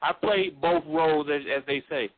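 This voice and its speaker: A man with a baritone voice talks casually and emphatically on a call-in talk show. He laughs often and keeps saying "you know what I'm saying". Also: normal speed, no room sound. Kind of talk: casual opinionated chat. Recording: thin phone-line sound.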